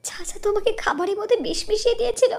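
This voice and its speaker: A young village girl in a cartoon fairy tale, voiced by a woman, pitched high. She is earnest and defiant, a wronged sister who puts strong emotion into what she says. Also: fast talker. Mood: distressed.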